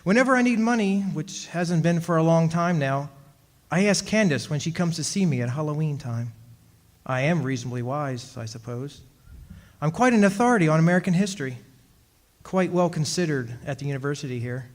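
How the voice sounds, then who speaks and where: A man's voice: a little high, lively intonation, brisk, lightly acted. An actor playing an uneasy immortal man, telling his story in a confiding, conversational way in a radio drama revival. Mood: wry, matter-of-fact. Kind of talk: stage revival of 1940s radio drama narration.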